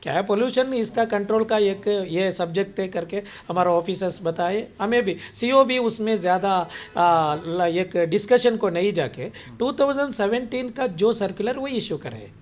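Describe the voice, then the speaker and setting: High-pitched man, halting explanations. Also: animated. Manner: halting, repetitive, mixes in English words. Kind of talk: explanatory interview answers.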